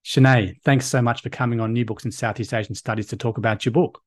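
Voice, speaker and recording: A man hosting a podcast interview, reading a measured, formal introduction before questioning his guest. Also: mid-range voice, fairly even intonation. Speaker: podcast co-host and history lecturer.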